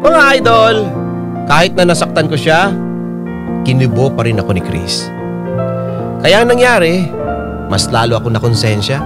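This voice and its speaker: A man's voice: a little high, very animated, moderate pace, pauses often. A man with a Filipino accent hosting a radio drama, reading a letter as dramatic narration. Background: piano music.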